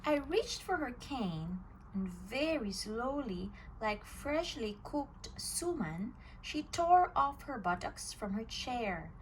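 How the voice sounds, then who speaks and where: Slow, expressive woman reading a picture book. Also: a little high, a little hiss or hum, rough recording. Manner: slow, expressive storytelling with a swinging voice. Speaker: storytime reader.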